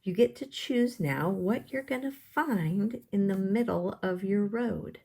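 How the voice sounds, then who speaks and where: A woman's voice: mid-range voice, slow, gravelly, dark tone, breathy edge. A woman art teacher giving step-by-step drawing instructions in simple, encouraging language, often putting questions to the viewer.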